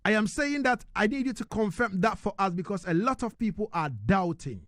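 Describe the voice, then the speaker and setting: A man's voice: high voice, expressive, brisk, a bit bright, dry recording, studio quality. A man hosting a casual radio interview, effusive and slangy, often repeating his phrases. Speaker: radio host interviewing a musician.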